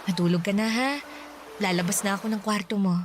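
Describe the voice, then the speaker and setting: A woman's voice: mid-range voice, fairly even intonation, fairly quick, slight background noise, lightly acted. An actress playing a caring, reassuring mother in a radio drama, speaking in a tender, motherly way. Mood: tender, soothing. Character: caring, reassuring widowed mother of two young boys. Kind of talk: Tagalog radio drama acting.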